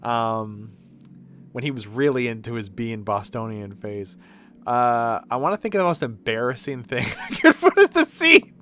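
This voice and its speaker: A man's voice: mid-range voice, big pitch swings, moderate pace, fairly bright tone. A man chatting casually on a game livestream, rambling and interrupting himself, with some mild swearing. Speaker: game streamer. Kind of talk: casual livestream gameplay chat.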